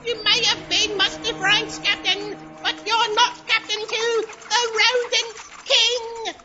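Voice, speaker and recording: A performer voicing a squeaky, eerie creature with a drawn-out, wailing delivery in a comic audio drama. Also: little pitch movement, over loud music. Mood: defiant. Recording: very noisy, muffled audio drama recording.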